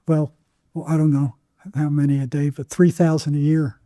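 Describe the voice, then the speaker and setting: An older man speaks as a therapist being interviewed, recounting things conversationally. His voice sits a little high yet has a very mellow, muted tone. He halts and repeats words as he goes, and the recording is dry and close. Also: level tone, dry recording. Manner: halting, repeats words, acts out remembered exchanges. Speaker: psychotherapist guest.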